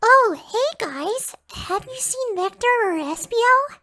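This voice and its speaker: Squeaky, very high little cartoon bee. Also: lively intonation, takes it slowly, sharp tone, full character voice. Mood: cheerful. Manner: squeaky, childlike. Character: cheerful, naive little boy cartoon bee. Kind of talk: fan audio drama acting.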